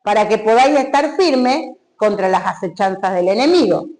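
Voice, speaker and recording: A woman's voice: medium pitch, animated, normal speed, darkish tone. A woman preaching a sermon, emphatic, and putting questions straight to the congregation.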